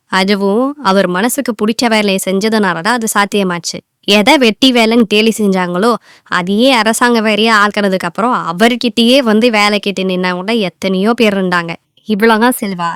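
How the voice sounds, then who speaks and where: Lady as wise firm friend in a cartoon, clear smooth voice, explains stuff, dry sound. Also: mid-range voice, fairly bright tone, dry recording, natural voice. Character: wise, firm woman teaching her friend a lesson. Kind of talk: acted dialogue in animated moral tale.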